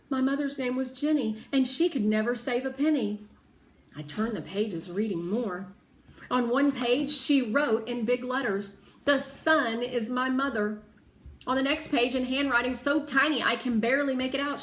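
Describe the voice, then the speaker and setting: A woman narrating a children's novel aloud with deliberate, dramatic phrasing, in a roomy recording. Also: on the high side. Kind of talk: expressive children's audiobook narration. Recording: roomy echo, faint background noise.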